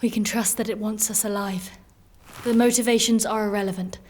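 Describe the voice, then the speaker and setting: A woman playing a proud, menacing warrior noblewoman in a dark fantasy audio drama, stern, formal and terse. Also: medium pitch, fairly even intonation, a little fast, lightly acted. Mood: grim, resolved.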